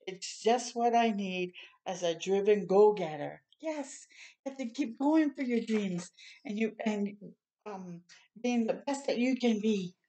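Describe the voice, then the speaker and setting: An older woman reads a children's picture book aloud in a small room at home. Her voice sits at a medium pitch for a woman. She breaks away from the text to add encouraging, chatty asides for young listeners. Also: a little slow, dark tone. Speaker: children's storytime reader.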